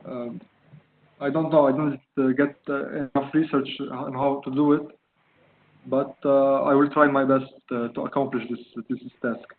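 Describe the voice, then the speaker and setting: Halting man from Lebanon on a call. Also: mid-range voice, level tone. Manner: halting, searching for words, many fillers. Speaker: student presenting a project. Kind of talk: online video call presentation. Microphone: video call. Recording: tinny phone-like call audio, faint noise.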